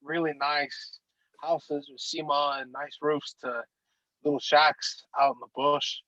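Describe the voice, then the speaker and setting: Easygoing joking guest, dry sound. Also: male voice, on the high side, flat delivery, takes it slowly, sharp tone, no echo, muffled recording. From Utah, United States. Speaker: podcast guest. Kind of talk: casual interview storytelling.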